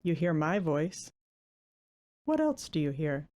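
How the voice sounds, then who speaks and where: Female meditation guide, lowish and very soft-edged in tone, gentle, unhurried delivery with generous pauses. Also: sing-song.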